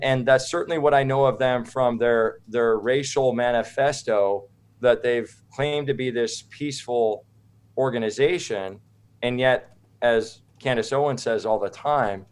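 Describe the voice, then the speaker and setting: American guy on a podcast as guest, average pitch, loose rambly opinionated talk, keeps checking in. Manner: informal, rambling, checks in with "right?". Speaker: interview guest, activist. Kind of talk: opinionated conversational interview.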